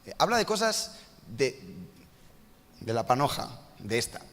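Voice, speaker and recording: A man's voice: mid-range voice, sing-song, unhurried, fairly bright tone. Chatty guy hosting an interview up front at church, joking around, big hall echo behind him. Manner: jokey, chatty, self-mocking asides. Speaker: church host interviewing a missionary. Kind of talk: casual church interview on stage. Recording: long church hall reverb.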